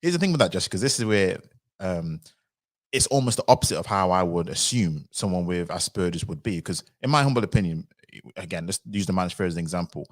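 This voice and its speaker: A man's voice: low voice, expressive, fast talker, dry recording, clean studio sound. A man hosting a livestream in casual conversation, reflectively working through his reasoning step by step. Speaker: livestream host.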